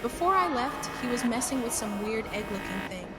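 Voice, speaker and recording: A woman's voice: on the high side, lively intonation, brisk, heavy background noise, close to an everyday voice. A woman playing a rattled, blunt survey crew worker in a sci-fi horror audio drama. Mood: alarmed.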